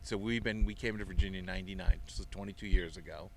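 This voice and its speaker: Low-voiced middle-aged man, chatty. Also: fast, a little hiss or hum, low-quality recording. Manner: chatty, rambling storyteller. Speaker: guest, avid golfer. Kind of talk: casual interview answers.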